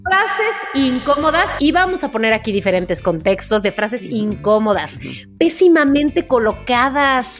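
A woman co-hosting a podcast in casual chat, playful and expressive in the way she talks. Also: a little high, a little slow, soft background music. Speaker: podcast co-host.

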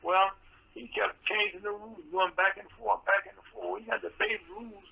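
A man hosting a radio talk show with a soft voice, rambling and full of fillers and restarts. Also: high-pitched, fairly even intonation, moderate pace, creaky, husky, low-quality recording.

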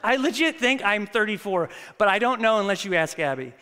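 A man in his thirties, a pastor, preaching a sermon with prayer and lively stories that he acts out. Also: high-pitched, fairly quick, clear, resonant tone. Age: 30s. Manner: animated, storytelling with acted-out quotes. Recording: long reverb of a church hall.

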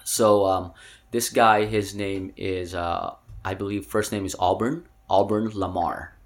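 A man co-hosting a casual podcast chat, telling a story with lively bursts of laughter. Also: low voice, measured pace. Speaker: podcast co-host.

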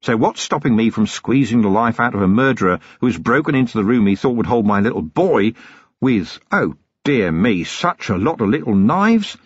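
A man voicing a weary, gruff city police commander who talks in a slow, deliberate, menacing way. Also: medium pitch, sing-song, dry recording, lo-fi sound.

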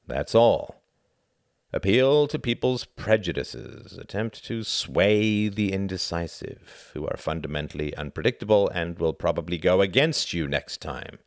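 A man voicing a gruff, cynical mentor in an audiobook, lecturing in blunt, emphatic, clipped phrases. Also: deep voice, dry recording, clean studio sound, heavily acted.

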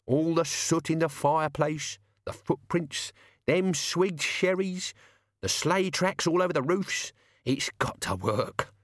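A man's voice: on the high side, expressive, slow, no room sound, clean studio sound, pitched above the performer's own voice. A man voicing a wry old manservant in a storybook reading, deferential but colloquial and coaxing.

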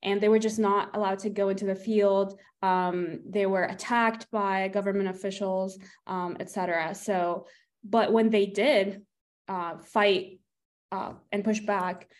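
Young woman from egypt, med student, even and earnest, mid pitch. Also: measured pace. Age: young adult. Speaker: medical student guest. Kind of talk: interview answers.

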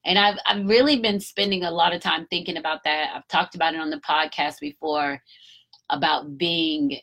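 A woman's voice: low voice, steady tone, normal speed, a bit bright. A woman in her fifties talking candidly on her solo podcast, encouraging listeners and cursing casually. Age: 50s. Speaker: podcast host. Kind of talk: reflective solo podcast talk.

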